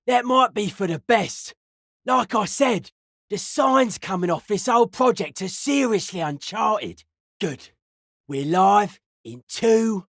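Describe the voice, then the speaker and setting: A young counterculture club kid for a video game. He talks slowly in a slangy, deadpan drawl, earnest and easily spooked. The sound is dry, with no room around it. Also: high-pitched, no echo, exaggerated voice.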